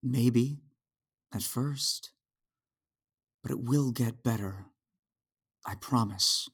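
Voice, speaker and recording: A gentle fatherly figure in an audio drama. He speaks slowly in a breathy, husky voice, patient and soothing throughout. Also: medium pitch, full character voice. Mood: gentle, consoling. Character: gentle, patient fatherly man consoling a youth.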